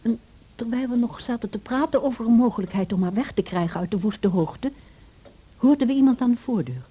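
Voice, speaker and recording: Soft, husky housekeeper. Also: female voice, on the high side, animated, a little fast. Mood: grave recounting. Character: loyal, caring housekeeper recounting a family's story. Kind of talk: radio play storytelling.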